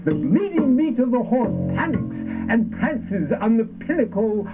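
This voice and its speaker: A fervent male orator from a surreal stage radio play, slow and declamatory. He accuses in halting, drawn-out phrases that swoop widely. Loud plucked guitar plays behind him. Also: very high-pitched, sing-song, full character voice. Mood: solemn, incantatory. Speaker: stage actor. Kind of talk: theatrical avant-garde radio play recitation. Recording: dull lo-fi stage performance recording.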